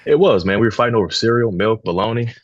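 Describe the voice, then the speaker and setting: A man in his thirties from St. Louis, a podcast guest telling stories in an emphatic, animated way. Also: on the low side, fast talker, no room sound, muffled recording. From St. Louis, Missouri. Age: 30s. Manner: emphatic, animated storyteller, swears casually. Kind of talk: casual podcast conversation.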